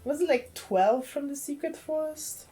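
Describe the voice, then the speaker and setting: Slow, mellow-voiced Polish-accented woman. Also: sits high, faint music behind. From Poland. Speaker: video game streamer. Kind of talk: casual livestream game chat.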